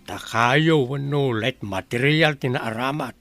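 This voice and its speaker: An actor playing a nagging, exasperated older spouse in a radio drama, scolding as they talk. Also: male voice, medium pitch, takes it slowly, fairly bright tone, no room sound, faint music behind.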